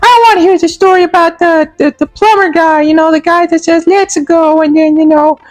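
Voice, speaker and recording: A tiny, eager star creature from a cartoon, put on by a man, pitched way up high. It is childlike and excitable, stumbling over its words as it begs for a story. The sound is dry and close. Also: dry recording, poor audio. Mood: excited, eager. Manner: halting, excitable, fumbling for words.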